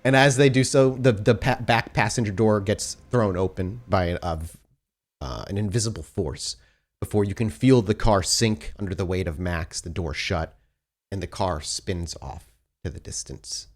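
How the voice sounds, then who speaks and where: A man narrating a tabletop roleplaying game as storyteller, in a measured, descriptive and dramatic cinematic style. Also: on the low side. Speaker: game master, storyteller of an actual play podcast.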